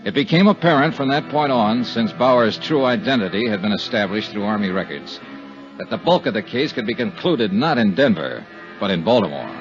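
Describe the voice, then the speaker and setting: A tough, matter-of-fact American investigator played by a male actor with a fairly low voice. He speaks tersely in 1950s radio detective style, on a muffled vintage recording with music in places. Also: expressive, brisk, lightly acted. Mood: dry narrating. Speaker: actor playing lead investigator and narrator. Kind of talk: 1950s radio detective drama, narration and dialogue.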